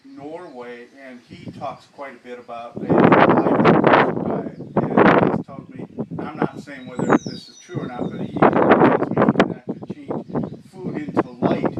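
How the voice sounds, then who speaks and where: A man's voice: mid-range voice, vocal fry, highs cut off. A man hosting a casual interview in someone's home, effusive, laid-back and earnest. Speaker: interviewer, host of his own channel. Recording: roomy, distant, noisy recording.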